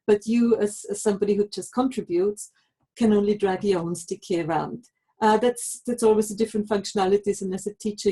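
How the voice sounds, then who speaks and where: A woman's voice: medium pitch, warm tone, husky, low-quality recording. A woman presenting a live online lesson for language teachers, explaining tools and giving practical instructions.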